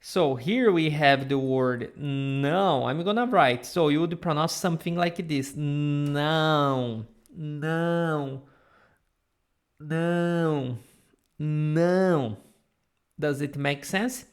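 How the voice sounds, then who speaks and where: A woman's voice: sits low, takes it slowly. A Brazilian woman with a Brazilian accent teaching Portuguese in a live online lesson, patiently repeating sounds for students to copy. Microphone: camera mic.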